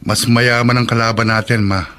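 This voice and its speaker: An actor in a Filipino radio drama playing a proud, worried political candidate, emphatic and defiant. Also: male voice, medium pitch, flat delivery, moderate pace, sharp tone, clear, resonant tone, lightly acted.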